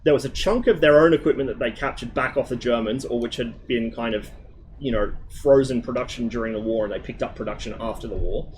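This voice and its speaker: A man's voice: mid-range voice, fast, slight background noise, rough recording. A man streaming to his viewers in loose, rambling chat, swearing often and wandering between tangents, with a slight lisp on his L sounds and a lingering cough. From Australia. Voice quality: mild speech impediment on L sounds.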